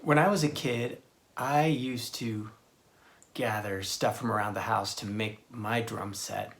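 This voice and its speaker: A man giving a casual, hands-on drum lesson for children, talking in a halting, exploratory way. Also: on the low side, steady tone, vocal fry. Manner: halting, exploratory, sounding out rhythms with his mouth. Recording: roomy echo, loud clattering percussion noise. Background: banging on household objects.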